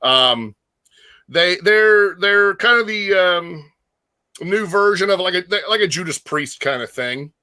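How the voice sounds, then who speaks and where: Male co-host for casual music talk: forceful, close and dry sound, halting but keen fan delivery. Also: high-pitched, animated, no echo. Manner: enthusiastic, halting, slangy. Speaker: guest co-host, metal fan.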